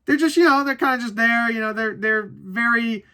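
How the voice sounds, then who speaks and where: A man with a notably high, bright voice gives an off-the-cuff movie review. He rambles informally, jokes around and swears freely. He leans on repetition to drive his opinions home. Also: normal speed, smooth.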